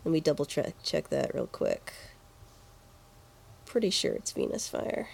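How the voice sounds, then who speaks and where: A woman's voice: sits low, wildly swooping pitch, measured pace, gravelly, darkish tone, breathy edge. A woman co-hosting a podcast, chatting casually and informally about video game music. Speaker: podcast co-host.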